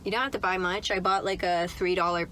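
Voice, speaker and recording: A woman's voice: on the low side, steady tone, fairly quick, bright, forceful tone, slight background noise. A young woman vlogging from inside a car, chatting in a loose, upbeat way with lots of "like" and "right?". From Canada. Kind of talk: casual chat to camera.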